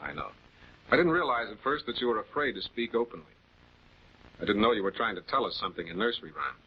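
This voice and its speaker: Methodical prosecutor, accusatory and deliberate, slightly husky, vintage crime drama, dull film soundtrack. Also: male voice, lowish voice, big pitch swings, full character voice. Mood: explaining, firm. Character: composed, methodical male prosecutor confronting suspects. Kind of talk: 1940s television crime drama acting.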